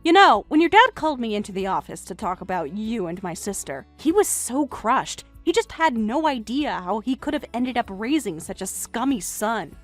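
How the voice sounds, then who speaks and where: Woman playing mocking young woman, condescending, self-assured, melodramatic story dialogue, animated intonation. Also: on the high side, normal speed, hardly any pauses, dry recording, soft background music. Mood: pointed. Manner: sarcastic, condescending.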